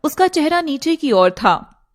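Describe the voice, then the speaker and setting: A woman's voice: high-pitched, big pitch swings, a little slow. A woman narrating a scripted story in a dramatic storytelling style.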